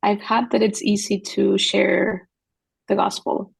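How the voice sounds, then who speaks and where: Husky, gravelly-voiced woman telling stories. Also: medium pitch, flat delivery, unhurried. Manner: earnest, storytelling, quotes others in dialogue. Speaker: guest missionary. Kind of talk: podcast conversation.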